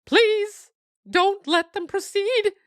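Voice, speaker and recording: A terrified female dog begs and whines in a very high, squeaky voice. She sounds like a storybook creature near tears. The reading is close and dry. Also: slow pace, a bit bright, dry recording, exaggerated voice. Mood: pleading. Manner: whiny, pleading. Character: frightened whimpering female dog, pleading.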